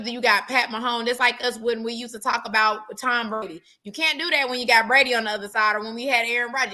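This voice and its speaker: A woman's voice: fairly high pitch, pushed and bright. A woman co-hosting a sports talk show, making her points with emphatic repetition in casual chat. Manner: emphatic, repeats points for stress.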